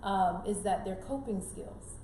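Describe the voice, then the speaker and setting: A woman's voice: mid-range voice, measured pace, warm tone. A middle-aged woman raised in Canada and born in Jamaica, giving earnest conversational advice as an interview guest, emphatic and repeating key phrases. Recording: roomy echo, clear background noise.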